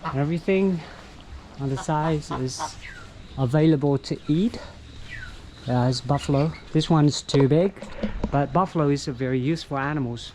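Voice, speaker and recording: Slow-talking Vietnamese man guiding outdoors. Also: mid-range voice, darkish tone. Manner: chatty, halting with many fillers. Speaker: local tour guide. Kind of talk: walking travel vlog. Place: outdoors in a mountain village. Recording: noisy outdoor recording.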